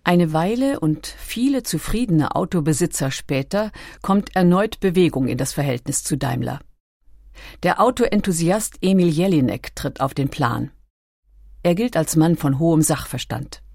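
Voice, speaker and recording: A woman reading a scripted documentary narration with measured, dramatically phrased storytelling. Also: sits low, expressive, darkish tone, no echo, clean studio sound. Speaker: audiobook narrator.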